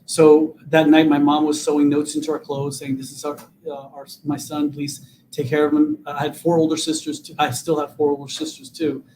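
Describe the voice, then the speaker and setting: Man in his fifties, flat, high-set voice. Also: normal speed, slight background noise, poor audio. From Vietnam. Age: 50s. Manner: repeats words when starting sentences. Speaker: guest, political candidate. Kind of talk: earnest interview talk.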